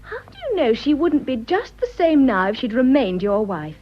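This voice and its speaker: An actress playing an earnest, spirited young English gentlewoman, frank and reproachful, in a radio drama. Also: high-pitched, sing-song, normal speed. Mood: defiant. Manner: reproachful, direct. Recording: muffled radio recording.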